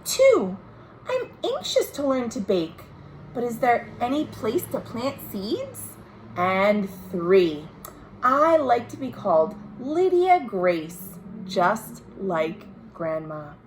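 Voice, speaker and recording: Woman reading as earnest young girl, slow and lilting. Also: fairly high pitch, very animated, low-quality recording. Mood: shy, earnest. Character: shy, eager, affectionate young girl writing letters home in 1935. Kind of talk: storybook letters read aloud. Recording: home video with faint background noise.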